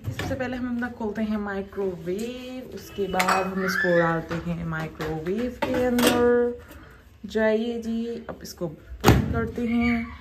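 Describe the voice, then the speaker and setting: A woman's voice: on the high side, steady tone, slow, heavy background noise. A woman in her thirties, a mother and home cooking vlogger, chatting step by step to viewers as she demonstrates a recipe in her small home kitchen, recorded on a phone held close. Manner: chatty, step-by-step explaining, addresses viewers as guys. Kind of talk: casual cooking demonstration to camera.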